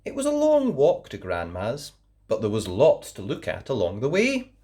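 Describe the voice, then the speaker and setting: A woman hosting a channel of read-aloud children's stories, reading gently and expressively. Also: very deep voice, huge pitch swings, normal speed. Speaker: storyteller host.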